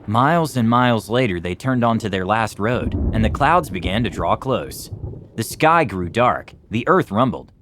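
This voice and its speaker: A man's voice: lowish voice, expressive, normal speed, background noise. A man narrating a children's storybook expressively, as a storytime reader. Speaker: storytime reader, music club teacher.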